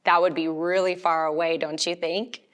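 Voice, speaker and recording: A woman reading a picture book aloud to young children, gently and with little questions to them as she goes. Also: on the low side, little pitch movement, normal speed. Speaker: storytime reader.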